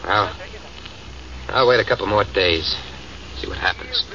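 An actor playing a hard-nosed, impatient gang boss in a 1940s-style radio drama, gruff and commanding. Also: male voice, low voice, a little slow, sharp tone, full character voice. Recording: dull old radio recording with hiss, distant mic.

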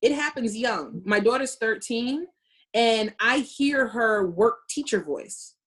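A woman in her forties talking emphatically in a casual podcast discussion, telling stories with lively emphasis. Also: fairly high pitch, slow. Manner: animated, emphatic storytelling.

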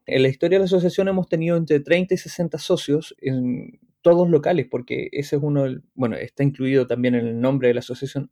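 A man from Chilean Patagonia with a Chilean accent, a tour guide answering interview questions in an unhurried way, with frequent restarts. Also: mid-range voice, mellow. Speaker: guest, tour guide association president.

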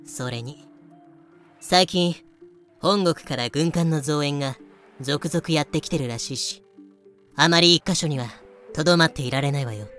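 A woman's voice: deep voice, normal speed, long pauses, a bit bright, faint music behind. A woman playing a bloodthirsty yet composed female pirate captain in an audio drama, commanding and teasing. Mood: calm, commanding. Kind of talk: fan-made audio drama acting.

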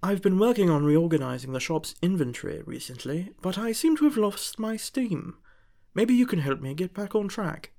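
A woman voicing a prim, fussy, gentle male angel bookseller with polite, old-fashioned manners, in a storybook reading. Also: lowish voice, animated, normal speed. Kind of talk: audiobook character voice.

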